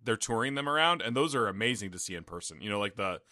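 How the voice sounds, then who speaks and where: A man's voice: fairly low pitch, animated, quick pace, sharp tone, dry recording. Tired, dry-joking male podcast host. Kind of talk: casual chat. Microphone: gaming headset.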